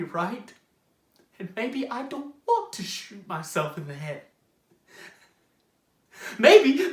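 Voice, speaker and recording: In a roomy, echoing space, a young male actor plays an anguished failed writer in a stage monologue. He is bitter and self-pitying, speaking slowly and haltingly with sharp, forceful tone and wild swings in pitch. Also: full character voice. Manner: profane, halting, swinging from muttering to shouting, addressing the audience. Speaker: student actor. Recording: roomy, echoing room sound.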